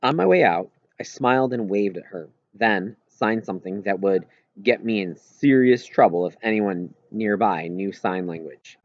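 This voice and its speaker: A man's voice: lowish voice, expressive, moderate pace, dry recording, highs cut off. A man reading a children's novel aloud in first-person narration, as an audiobook reader.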